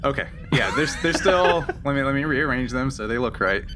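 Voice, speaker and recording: A man's voice: mid-range voice, expressive, normal speed. A man running a tabletop game as game master, narrating casually and improvising with some hesitation. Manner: hesitant, improvising, chuckling often. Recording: clear background noise.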